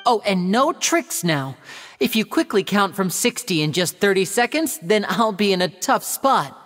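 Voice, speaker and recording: A showy young male magician in a video game. The delivery is animated and theatrical, courteous and a little teasing, with faint music underneath. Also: mid-range voice, moderate pace, in a large hall. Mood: playful, instructing. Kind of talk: video game character acting, stage patter.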